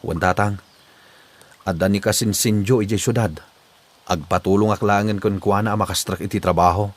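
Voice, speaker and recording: A man's voice: lowish voice, fairly even intonation, normal speed, close to an everyday voice. A man with an Ilocano Filipino accent playing a dutiful, earnest young provincial son in a drama, subdued and agreeable. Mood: respectful. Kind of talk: amateur drama dialogue.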